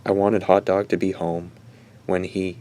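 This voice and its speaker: A man playing a sardonic, guilt-ridden teenage boy in a radio drama, brooding and halting as he confesses. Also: low-pitched, flat delivery, warm tone. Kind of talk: radio drama acting with first-person narration.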